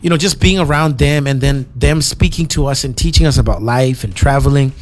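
A young man from Zimbabwe, a musician guest in a relaxed interview, easygoing and full of "you know"s. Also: medium pitch, fairly quick, a little hiss or hum. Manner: easygoing, repeats himself, many "you know"s.

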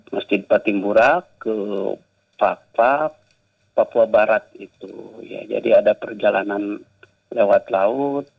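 A man's voice: on the low side, slow, phone-quality sound. A man with a Sumatran-tinged Indonesian accent, talking as an interview guest about his work as a writer and journalist, hesitant and full of fillers. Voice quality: gravelly, hesitant with many fillers. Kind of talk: casual interview talk.

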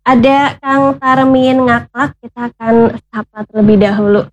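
A woman's voice: on the high side, flat delivery, soft background music. Woman hosting a radio talk show. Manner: polite, measured moderator addressing listeners. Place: radio studio.

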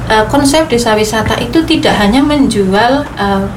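A woman explains her points earnestly, pausing on frequent fillers. Her voice sits fairly high. A steady background noise runs beneath her speech. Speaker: guest speaker, regional official. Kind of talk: panel discussion.

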